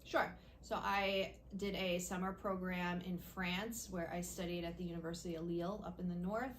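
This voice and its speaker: Woman, middle-aged, school principal, fairly low pitch, measured answers, light background noise. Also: little pitch movement. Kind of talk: interview answers.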